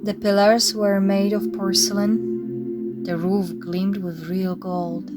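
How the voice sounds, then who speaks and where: A woman narrating a children's story in a measured, expressive read-aloud style. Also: medium pitch. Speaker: storybook reader. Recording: music playing behind the voice. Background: music.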